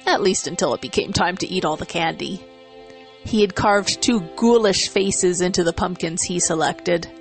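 A woman's voice: lowish voice, moderate pace. Woman narrating a story over music. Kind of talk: dramatic scripted story narration.

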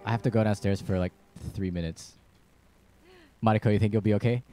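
A young man hosting a music livestream, chatting to viewers and his co-host with quick asides and restarts. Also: fairly low pitch, fairly even intonation. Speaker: violinist and stream host. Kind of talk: casual livestream chat. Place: home music studio room. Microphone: studio mic on a stand.

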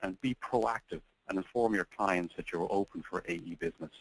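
A man's voice: sits low, a little fast, vocal fry, no echo, poor audio. A man hosting a webinar who reads prepared points and then politely introduces the next speaker. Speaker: webinar host. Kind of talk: scripted webinar presentation.

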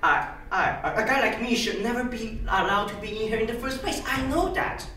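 A man's voice: high-pitched, big pitch swings, bright, forceful tone, full character voice. Guy doing a idealistic male senator speech, stagey and emphatic, really echoey room, mic far off. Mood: apologetic, pleading. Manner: emphatic, halting and stammering, with drawn-out words. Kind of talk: theatrical stage monologue. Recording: echoey room, distant mic, faint noise.

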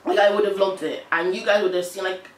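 A young woman with an English accent talks frankly to camera in an animated, exasperated way. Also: medium pitch, fast talker, reverberant. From United Kingdom. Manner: animated, frank, exasperated storytelling with hand gestures. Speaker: vlogger. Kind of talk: casual chat to camera. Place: small indoor room, on a sofa.

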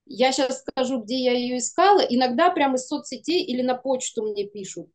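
A woman's voice: sits high, fairly quick. A woman in her thirties or forties, an interview guest talking casually and expressively on a video call. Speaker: guest, animation studio producer. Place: room indoors, video call.

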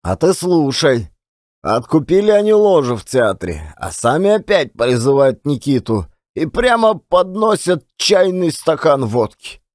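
Man acting lively old Russian storyteller, folksy. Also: on the high side, no echo, clean studio sound. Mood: animated recounting. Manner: colloquial, unhurried storytelling with rustic turns of phrase. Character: old former billiard marker, a folksy storyteller reminiscing. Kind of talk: one-man audiobook drama.

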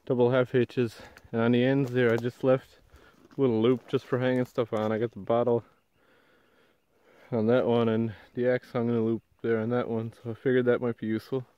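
A young man with a lilt talks in a relaxed, chatty way, walking the camera through his work. His voice is smooth and clear, close and dry. The camera audio sounds a bit dull. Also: mid-range voice, brisk, no room sound. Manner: relaxed, chatty, explains as he works. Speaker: bushcraft vlogger. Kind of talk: casual narration while working. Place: outdoors in a spruce forest. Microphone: handheld or mounted action camera.

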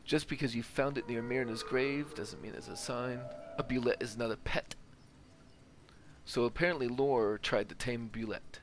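A man's voice: medium pitch, slight background noise. A man hosting a gaming video, giving playthrough commentary and reading in-game text aloud. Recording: faint game ambience behind.

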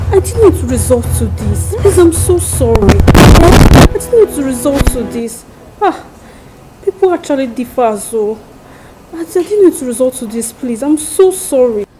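A woman's voice: on the high side, sing-song, normal speed, pauses often, over loud music, poor audio. A married woman acting in a skit as a friend who gives persuasive advice. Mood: apologetic. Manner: confiding, persuasive, then apologetic.

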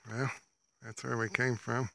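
A man's voice: medium pitch, level tone, measured pace, gravelly, sharp tone, a little hiss or hum. A man narrating casually while hiking through a wooded ravine, rambling in a laid-back, folksy way, recorded on a handheld camera outdoors. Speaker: vlogger. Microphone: handheld camera while walking.

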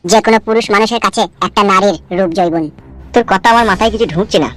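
A man's voice: high voice, racing, fairly bright tone. A man voicing a scheming, coarse-talking, nervous village crook in a comedy cartoon. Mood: conspiratorial. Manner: coarse slangy back-and-forth.

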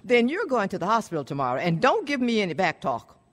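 A woman plays a stern mother in a radio drama. She is blunt and exasperated, with a very animated rise and fall to the voice. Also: medium pitch, fast talker, over loud music, close to an everyday voice. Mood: exasperated, scolding. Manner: blunt, scolding.

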